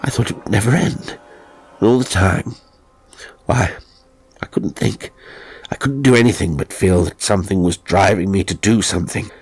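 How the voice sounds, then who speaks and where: A man voicing a tormented English gentleman in an audiobook, evasive and desperate, with a strained, halting intensity. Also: low-pitched, slightly rough, faint music behind. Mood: haunted, desperate. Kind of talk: audiobook character acting.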